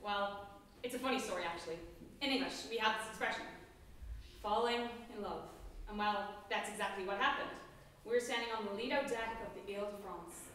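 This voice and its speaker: A woman's voice: mid-range voice, brisk, a bit bright, a little hiss or hum, natural voice. A woman playing a cheerful, breezy young groom who wears a blindfold on a school stage. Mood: chipper. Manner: breezy, chipper, dropping in French. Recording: echoey theatre from far off.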